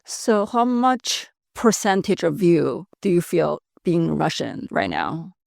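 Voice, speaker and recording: A woman's voice: fairly low pitch, very animated, takes it slowly, vocal fry, a little mellow, no room sound, studio quality. A woman hosting a podcast, asking curious, direct questions in a casual conversation. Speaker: podcast host.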